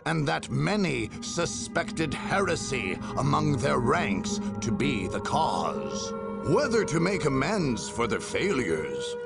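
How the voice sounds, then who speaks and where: An actor playing a grave chronicler of a grim far-future war, narrating a strategy game in a solemn, theatrical, gravelly and husky voice. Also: male voice, medium pitch, very animated, slow, over loud music, exaggerated voice. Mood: solemn, proud.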